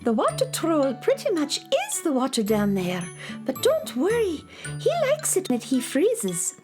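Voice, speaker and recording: Very high, kindly pixie. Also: female voice, huge pitch swings, a little slow, music in the background, full character voice. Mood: friendly, chatty. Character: kindly, chirpy female forest pixie. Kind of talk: children's radio drama acting.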